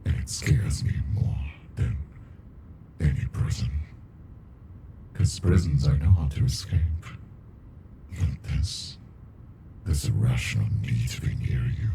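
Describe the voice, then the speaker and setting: Man voicing an abyssally deep gravelly alien monster. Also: expressive, mellow, slight background noise, heavily acted. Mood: confessional, tender. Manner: slow, brooding, deliberate, intimate. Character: captive alien monster, ancient, possessive, smitten with a human. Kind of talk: ASMR roleplay.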